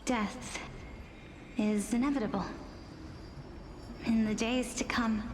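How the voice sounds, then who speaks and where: Gentle, high-voiced young woman, unhurried. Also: background noise. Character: gentle, earnest young woman facing a fated sacrifice. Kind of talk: scripted game dialogue acting.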